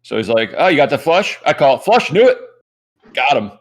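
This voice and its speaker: A man from Michigan with a Midwestern American accent, giving relaxed, joking live poker commentary. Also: a little high, fairly quick. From Michigan, USA. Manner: joking, laid-back banter.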